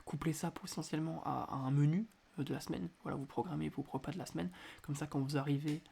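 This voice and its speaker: A man hosting a casual solo podcast, talking quietly at home with a stuffy nose from a cold, hesitating often with filler sounds. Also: a little high, fairly even intonation, fast talker, creaky, a little hiss or hum. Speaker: podcast host. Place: indoors at home at night.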